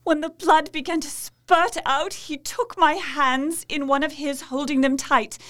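Actress doing a haunted victorian wife, high voice, slow and dramatic, telling her awful story. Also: fairly bright tone. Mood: horrified. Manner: deliberate, fraught, theatrical. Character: anguished, devout young Victorian wife recounting her ordeal. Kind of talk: dramatic monologue in an audio drama.

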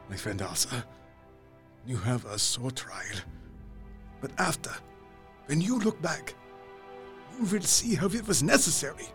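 A man's voice: mid-range voice, animated, slow, pauses often, fairly bright tone, soft background music. A man playing an older vampire-hunting professor in a gothic audio drama, grave and fatherly, with solemn, emphatic delivery. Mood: grave, consoling.

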